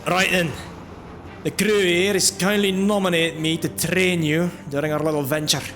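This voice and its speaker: A man voicing a gruff, blunt but good-humored dwarf combat trainer in a fantasy audio drama, with a brash, teasing manner. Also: high voice, level tone, normal speed, a bit bright, voice pushed up. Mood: hearty, brisk. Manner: brash, teasing, hearty exclamations.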